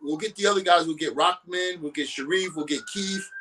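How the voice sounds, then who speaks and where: A talkative man with a somewhat high voice chats as a guest on a boxing show. His talk is loose and opinionated, rolling from one point to the next. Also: a little fast, bright, forceful tone.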